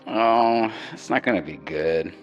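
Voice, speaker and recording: A man's voice: fairly low pitch, expressive, unhurried, dull audio, soft background music. A man playing a blunt young doctor and husband in a radio drama. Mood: drowsy, dismissive.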